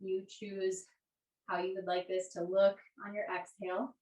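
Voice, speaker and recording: A woman teaching a yoga class, giving gentle, unhurried guided instructions. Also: mid-range voice, level tone. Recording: echoey room, distant microphone.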